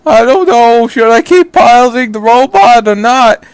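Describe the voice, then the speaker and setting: Casting: man playing whiny teen boy pilot, self-pitying, pitched well up, mocking anime send-up. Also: lively intonation, clear, resonant tone, no room sound. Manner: mocking, drawn-out complaining.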